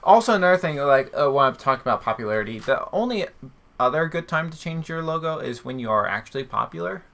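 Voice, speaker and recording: A man's voice: fairly high pitch, animated, quick pace, vocal fry, fairly bright tone. A man hosting a podcast in casual conversation, explaining his points emphatically. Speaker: podcast host. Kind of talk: casual chat.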